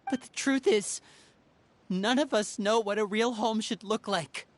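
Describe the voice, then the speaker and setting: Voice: medium pitch, a little slow, lightly acted. An actor playing an earnest, articulate teenage boy in a video game, imploring in manner. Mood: pleading. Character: earnest teenage boy pleading with his guardian. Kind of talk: dramatic video game acting.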